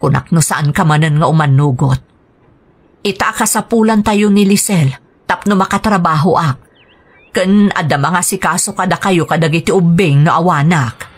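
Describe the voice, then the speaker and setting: A woman playing a careworn, pleading mother in a radio drama, with a husky voice and an Ilocano accent. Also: lowish voice, normal speed, natural voice. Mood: worried, resolute. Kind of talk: Filipino radio drama acting. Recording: music bed under dialogue. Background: music.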